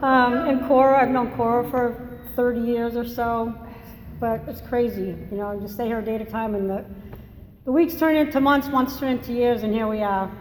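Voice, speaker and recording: A woman's voice: fairly high pitch, fairly even intonation, normal speed, a little mellow. An older woman from Massachusetts, with a Massachusetts accent, giving a candid, rambling personal testimony at a recovery meeting, with self-deprecating humor. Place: meeting hall. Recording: echoey hall, faint noise.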